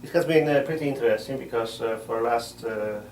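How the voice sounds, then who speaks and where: A middle-aged man, a missionary priest answering interview questions in a measured way, often pausing to find words. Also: mid-range voice, a little mellow, a little hiss or hum. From Poland. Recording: roomy sound from a distant mic.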